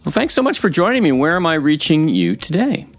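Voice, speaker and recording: A man's voice: fairly high pitch, wildly swooping pitch, fairly quick, faint music behind. A man hosting a podcast, moving between scripted introduction and conversational interview questions. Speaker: podcast host.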